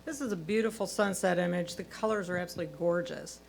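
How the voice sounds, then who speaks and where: A woman's voice: on the low side, fast talker. Woman giving critiques over humming noise. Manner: constructive, encouraging. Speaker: photo competition judge. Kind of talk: reading own prepared critiques. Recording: clear background noise, hum.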